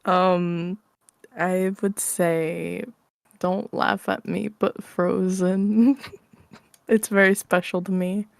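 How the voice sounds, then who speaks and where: A woman's voice: fairly low pitch, little pitch movement, drawn-out words, creaky, no room sound, studio quality. A woman chatting casually as an interview guest, informal and self-deprecating. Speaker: interview guest, audio roleplay creator. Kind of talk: casual chat.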